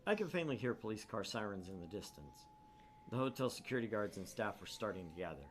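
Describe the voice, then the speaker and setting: A man's voice: lowish voice, very animated, quick pace, a little hiss or hum. A man hosting a gaming channel, narrating a visual novel aloud with theatrical, irreverent casual asides.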